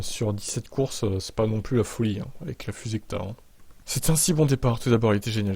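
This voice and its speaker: A man's voice: lowish voice, darkish tone. A man hosting motorsport news, moving between narration and blunt, informal opinion. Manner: informal, sardonic, slangy. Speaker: motorsport commentator and video host.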